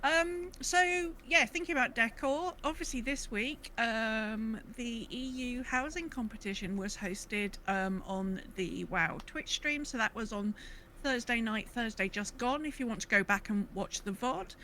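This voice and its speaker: A woman with a slightly high voice hosts a podcast. She talks in a casual, conversational way. There is some noise behind her voice. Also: unhurried, pushed and bright. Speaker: podcast host.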